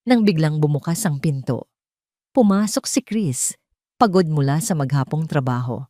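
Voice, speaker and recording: A woman's voice: deep voice, lively intonation, normal speed, warm tone, dry recording, studio quality. A woman with a Filipino accent reading a scripted dramatic story as a narrator.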